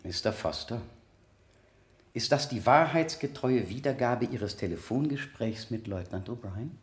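Actor as insistent examiner in a roomy courtroom sound. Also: male voice, medium pitch, expressive, natural voice. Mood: probing, polite. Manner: deliberate, insistent, formally polite questioning. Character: probing male courtroom examiner, persistent and methodical. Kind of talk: 1970 German radio play acting.

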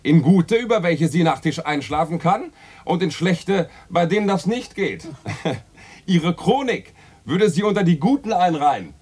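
An actor playing a jovial, teasing literary critic in a German radio play, husky and theatrical, speaking in florid, ironic phrases. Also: male voice, high-pitched, lively intonation, measured pace, a little mellow, heavily acted.

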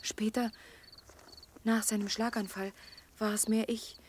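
A woman plays a wistful, vulnerable young woman in a radio play. She confides softly and breathily at a middling pitch, with faint insects behind her. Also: flat delivery, a little slow, a little hiss or hum, natural voice. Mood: wistful, confiding. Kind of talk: German radio drama acting. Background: crickets.

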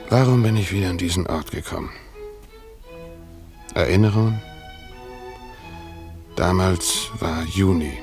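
An actor playing a brooding, lovesick former tutor, narrating a radio drama in a reflective, halting, self-questioning way. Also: male voice, low-pitched, a little mellow, faint music behind.

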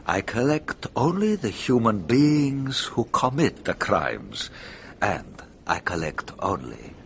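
An actor playing a suave, smug gentleman collector in a radio drama, urbane, pompous and mocking. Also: on the low side, very animated, slow pace, vocal fry, a little hiss or hum, full character voice. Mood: smug, boastful.

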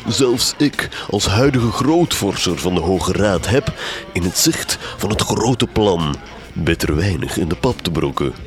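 Low florid villain doctor, flemish, very lively pitch, scheming, gothic radio play. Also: male voice, measured pace, soft background music. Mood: pompous. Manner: pompous, florid, sardonic. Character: pompous, scheming doctor, grandmaster of a secret society. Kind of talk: gothic comedy radio drama acting.

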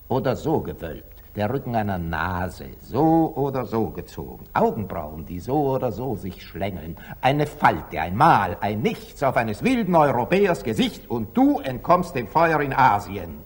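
A man's voice: fairly low pitch, breathy edge, heavily acted. An actor playing a wise, loving, teasing middle-aged merchant father in a declamatory 1940s radio drama style. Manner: declamatory, rhetorical, questioning. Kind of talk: 1940s German radio drama stage acting.

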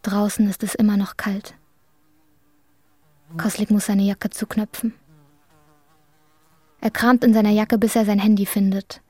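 A woman's voice: medium pitch, little pitch movement, unhurried, pauses often, mellow, no echo, natural voice. A woman narrating a literary radio drama in a sober, detached manner. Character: detached, sober female storyteller.

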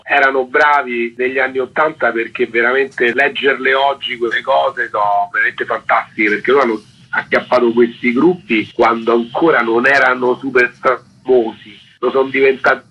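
A man's voice: medium pitch, fairly even intonation, normal speed, husky, dull audio. A man explaining things colloquially in an informal radio interview as a fanzine co-editor.

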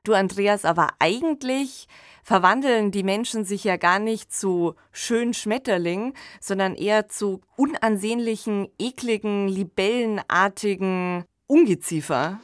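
A woman's voice: medium pitch, unhurried, no room sound, studio quality. A woman, co-hosting a podcast and explaining things in a colloquial, conversational way. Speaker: podcast co-host. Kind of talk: conversational discussion of fiction and film.

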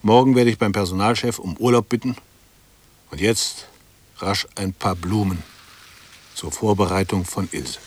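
An embittered, dutiful male bank employee in a radio drama monologue. His voice is fairly low and husky. He broods and justifies himself in short, clipped fragments, longing for peace. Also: a little slow, long pauses. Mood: wistful. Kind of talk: interior monologue in a 1960s German radio play.

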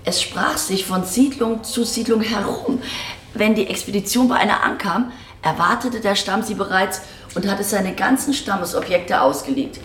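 An actress playing a blunt, disillusioned museum director in a German radio drama, speaking in a matter-of-fact, sardonic way. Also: female voice, medium pitch, moderate pace, a bit bright, a little hiss or hum, natural voice. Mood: explanatory. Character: blunt, disillusioned female museum director explaining her collection. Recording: roomy, echoing archive-like acoustic.

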